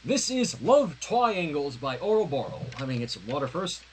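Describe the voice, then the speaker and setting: A man hosting a fan fiction reading, expressively narrating the story with casual asides in a slightly husky voice. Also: fairly high pitch, normal speed, slight background noise. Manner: expressive, acts out dialogue. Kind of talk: dramatic read-aloud of a story with casual commentary.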